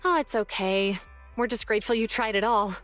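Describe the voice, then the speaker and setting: A woman's voice: medium pitch, steady tone, fairly quick, fairly bright tone, soft background music, close to an everyday voice. A woman playing an earnest, friendly young public security officer in a video game, speaking sincerely. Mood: friendly, calming. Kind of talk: video game character acting.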